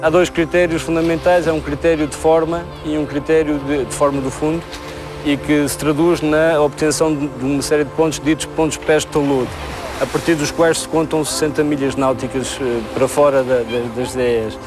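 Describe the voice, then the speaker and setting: A man with a European Portuguese accent giving halting, technical explanations as an expert in an interview. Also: on the high side, flat delivery, over music. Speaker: marine survey expert being interviewed.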